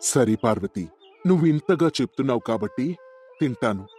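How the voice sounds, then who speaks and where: A man's voice: mid-range voice, expressive, moderate pace, darkish tone, faint music behind, close to an everyday voice. A man playing a kind, hardworking village farmer in a storybook tale, earnest and reassuring.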